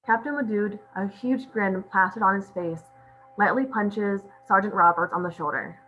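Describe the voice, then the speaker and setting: A woman's voice: medium pitch, moderate pace, faint music behind. A woman reading out stage directions as scripted narration at a table read. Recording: muffled audio with clear hum.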